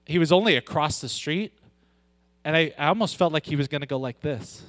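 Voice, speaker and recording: A man preaching a sermon with a loud voice, moving from joking, conversational asides to slow, weighty emphasis on scripture. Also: on the high side, no room sound. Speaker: pastor.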